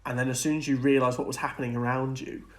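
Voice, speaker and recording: A man's voice: medium pitch, flat delivery, fast talker. Casual man co-hosting a podcast. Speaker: podcast co-host. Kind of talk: casual film and TV discussion.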